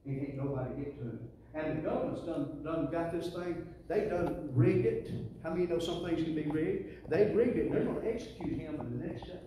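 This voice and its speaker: A man's voice: on the high side, a little fast. A middle-aged man with a Southern US accent preaching a sermon in a folksy, emphatic way, repeating phrases and calling on the congregation to respond. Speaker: pastor preaching to a church congregation. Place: church sanctuary. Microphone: handheld mic through PA, filmed from the pews. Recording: echoey church PA, distant, faint noise.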